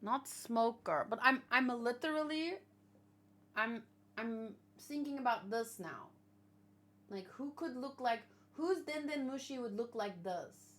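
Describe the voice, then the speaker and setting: A woman hosting a read-along, chatting casually with viewers and thinking aloud, with hesitant pauses and musing hums. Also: on the high side, expressive, fairly bright tone. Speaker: host of a manga read-along reaction show.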